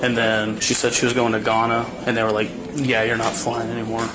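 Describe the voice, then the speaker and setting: A man's voice: medium pitch, completely flat delivery, a little fast, rough recording. A male police officer casually recounting an incident while on duty at an airport. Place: airport gate. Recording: crowd chatter at an airport.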